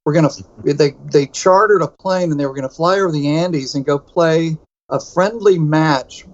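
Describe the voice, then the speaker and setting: Higher-pitched Texan man with a muffled sound. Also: moderate pace. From United States. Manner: rambling, self-deprecating, restarts often. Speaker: podcast co-host. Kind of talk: casual conversational podcast.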